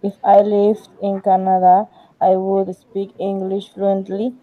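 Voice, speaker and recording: Woman student, slow careful reader, tinny phone-line sound. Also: medium pitch, completely flat delivery, no echo. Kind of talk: reading sentences aloud in class. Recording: tinny phone-like call audio.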